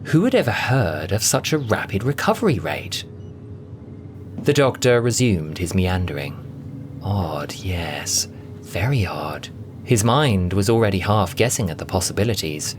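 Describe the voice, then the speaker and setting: A man narrating a scripted science-fiction story with a theatrical, expressive delivery. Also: sits low, background noise. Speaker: audiobook narrator and voice performer. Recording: loud music bed under the voice. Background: music.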